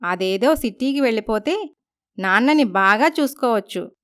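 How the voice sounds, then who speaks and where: A woman's voice: on the high side, expressive, moderate pace, a bit bright, smooth. A woman voicing a dutiful, polite young daughter, speaking earnestly in a moral story. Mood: reassuring. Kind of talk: dramatised moral story voice acting.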